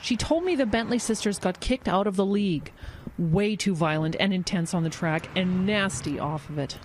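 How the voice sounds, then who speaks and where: An actress playing a fretful, secretive friend in an audio drama, earnest and urgent. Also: female voice, mid-range voice, moderate pace, natural voice. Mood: earnest, grave. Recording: faint background noise.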